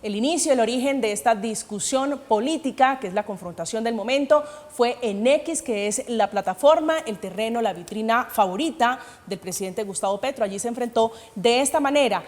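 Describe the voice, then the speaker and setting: A woman's voice: fairly high pitch, fairly quick, hardly any pauses. A woman formally moderating a televised debate as its host. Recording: long hall-like reverb.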